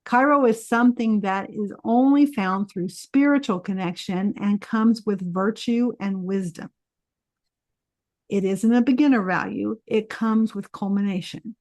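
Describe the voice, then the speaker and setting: A woman co-hosting a podcast, talking earnestly and reflectively. She explains ideas and reads out quoted passages. Her voice sits at a middle pitch and sounds dry and close, with no room echo. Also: darkish tone. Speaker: podcast co-host.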